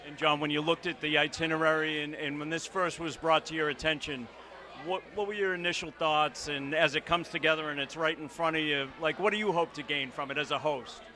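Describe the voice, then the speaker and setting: Bright, upbeat male reporter. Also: fairly high pitch, steady tone, brisk. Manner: upbeat, chatty questioning. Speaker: reporter interviewing players. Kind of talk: on-camera interview coverage. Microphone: handheld microphone.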